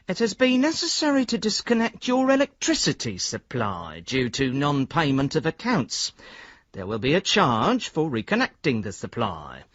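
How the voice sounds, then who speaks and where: A stiff utility official in a radio play. The voice is husky and swings in pitch through formal, officious phrases, on a dry, slightly dull recording. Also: fairly bright tone, dry recording, heavily acted. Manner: formal, official letter-reading. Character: stiff, officious electricity board area controller. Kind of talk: radio drama letter monologue.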